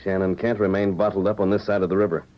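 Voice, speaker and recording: Man as earnest cowboy hero, authoritative, deliberate, old-time radio western, dull band-limited sound. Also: deep voice, flat delivery, lightly acted. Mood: grave, determined. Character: resolute masked cowboy hero, commanding and earnest. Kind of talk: 1940s radio drama acting. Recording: muffled old 1940s radio recording.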